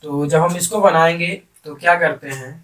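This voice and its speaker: A man's voice: fairly high pitch, moderate pace, a bit bright. A man teaching grammar like a classroom teacher, repeating key phrases and putting questions to his students. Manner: repetitive, question-and-answer teaching, addressing students. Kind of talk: classroom-style grammar lesson in Hindi with English terms. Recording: distant microphone.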